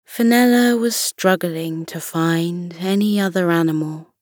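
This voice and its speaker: A woman narrating a children's bedtime story in a slow, soothing style. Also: on the low side, a little mellow, dry recording, studio quality.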